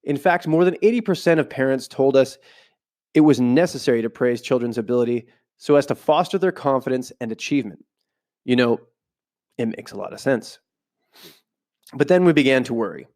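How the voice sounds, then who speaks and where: Male podcast host, home setup, stuffy with a cold, reads a book aloud and drifts into loose asides, mid-pitched. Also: a little fast, a little mellow. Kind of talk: casual podcast, reading from a book with side comments. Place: home room, not a studio.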